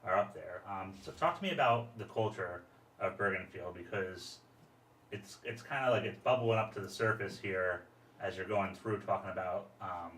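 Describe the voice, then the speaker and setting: Roomy, distant-sounding American man, lowish voice. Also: normal speed, a bit bright. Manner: rambling, acts out imagined quotes. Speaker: podcast host, interviewer. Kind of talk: casual interview chat. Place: podcast studio, indoors. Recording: roomy echo, distant mic, faint noise.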